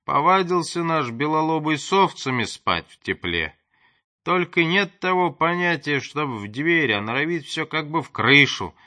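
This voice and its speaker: A man's voice: fairly high pitch, sharp tone, pitched above the performer's own voice. A man voicing a simple, talkative rustic watchman who grumbles in folksy peasant speech in a storybook reading.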